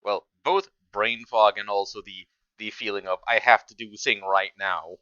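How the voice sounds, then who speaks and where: A man with a low, bright voice reacts casually. He speaks haltingly, doubling back on his words and trailing off. The sound is dry and a little dull. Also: fairly even intonation, clear, resonant tone, no room sound. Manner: halting, trails off and repeats himself.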